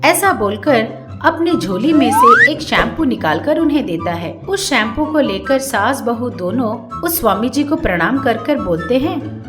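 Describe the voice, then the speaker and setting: A woman narrates a children's fairy tale from a script. Her voice is high and very lively in pitch, expressive throughout, with faint music underneath. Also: unhurried. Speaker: story narrator.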